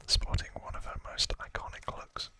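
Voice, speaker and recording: A man speaks in a soft, breathy whisper as an ASMR host. The whisper is very bright and sibilant, with almost no voiced tone. He reads and comments in a hushed, deliberate way.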